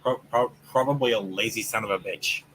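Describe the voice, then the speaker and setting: A man's voice: on the low side, brisk, a bit bright, a little hiss or hum, low-quality recording. A man bantering casually in a voice chat with friends.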